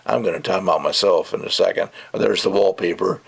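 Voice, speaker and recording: An older man with a gravelly, creaky voice giving a casual, rambling review in an opinionated, emphatic way. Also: deep voice, fairly even intonation, fairly quick. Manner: opinionated, rambling, emphatic, acts out mocking quotes. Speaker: audio gear reviewer. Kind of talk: casual ranting video review.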